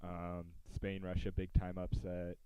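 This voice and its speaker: A man's voice: low voice, monotone, measured pace, mellow, smooth, no room sound, muffled recording. An American man hosting a podcast, talking sports in a casual, conversational style. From United States.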